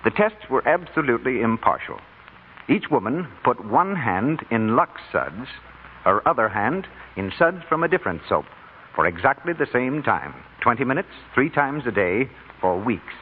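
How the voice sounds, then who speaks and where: A man's voice: medium pitch, very animated, normal speed. A man announcing a 1940s radio commercial with a polished sales delivery. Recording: tinny, hissy old radio broadcast.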